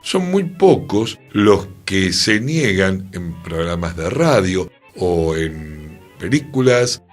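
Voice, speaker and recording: An Argentine man shares opinions in a radio discussion over faint music. His voice is husky and he speaks deliberately, stressing points with pauses and a very lively, moving intonation. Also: low-pitched. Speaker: radio show participant. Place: radio studio.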